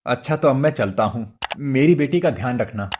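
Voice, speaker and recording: A woman voicing a worried, humble older father who talks in a pleading, apologetic way, her voice lowered far below her own. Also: lively intonation, normal speed, a little mellow, a little hiss or hum.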